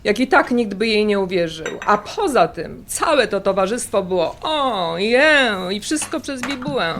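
An actress playing a defensive adult sister in an audio drama, exasperated and reluctant to dwell on the past. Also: female voice, medium pitch, sing-song, measured pace, fairly bright tone, a little hiss or hum. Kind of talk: naturalistic audio drama dialogue.

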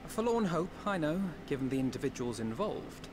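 A man's voice: fairly high pitch, very animated, brisk, noisy background. A man voicing a youthful elven nobleman in a video game, courteous, formal and resolute. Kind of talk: video game cutscene acting.

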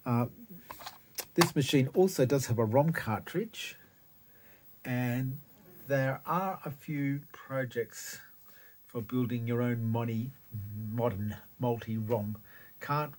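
A middle-aged Australian man giving a casual, unscripted hands-on demo in halting phrases, recorded on a handheld phone indoors. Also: medium pitch, darkish tone. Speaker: retro computer hobbyist presenter. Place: small cluttered room indoors.

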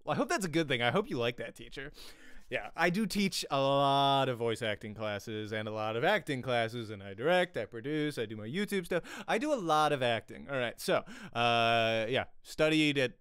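A man in his thirties teaches acting in a casual, conversational way. His voice is lively and widely varied in pitch, with a clean, smooth tone. He speaks close to a studio mic in a small home room, with a very dry sound. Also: moderate pace, dry recording. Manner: animated, chatty, repeats questions for emphasis. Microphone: close studio microphone with pop filter.

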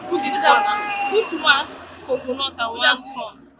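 A woman's voice: high voice, measured pace, over a noisy crowd, heavy background noise. A woman with a Nigerian accent playing a cheerful, proud mother who sells corn and coconut in a film drama. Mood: chatty selling. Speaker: street food seller.

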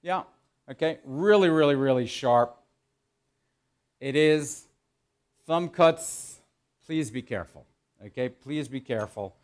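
A middle-aged man, a chef teaching in a kitchen, explaining as he works in an informal, halting way and repeating phrases for emphasis. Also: mid-range voice, darkish tone. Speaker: chef giving a cooking demonstration. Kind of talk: instructional cooking demo, explaining while working. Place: indoor professional teaching kitchen.

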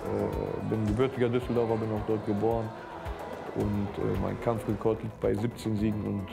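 A gravelly, low-pitched man of thirty-one speaking in a reality show interview. His delivery is flat and relaxed with small pauses. Music runs loudly under him. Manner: relaxed, hesitant with fillers. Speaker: fight coach.

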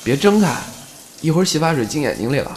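A man playing an earnest, affectionate young man speaking softly to his partner. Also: fairly high pitch, very animated, fast, poor audio. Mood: tender. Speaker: lead character. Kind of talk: audio drama acting. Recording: music playing under the voice.

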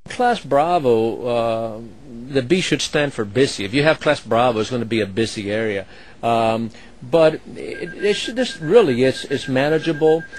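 A man who supervises air traffic controllers and flies, explaining the work in a halting way, with many fillers and restarts. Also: medium pitch, lively intonation, darkish tone, rough recording. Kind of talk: explaining his work to an interviewer.

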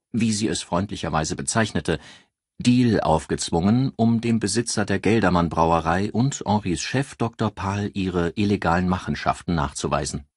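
A man's voice: low-pitched, husky, dry recording, clean studio sound. A man reading a crime novel aloud as a scripted audiobook narrator.

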